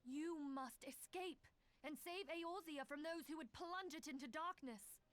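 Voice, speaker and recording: A gentle young female guardian from a fantasy video game. Her voice sits high and she speaks in formal, archaic phrases, earnest and self-sacrificing. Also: a little slow. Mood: solemn pleading. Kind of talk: scripted game voice acting.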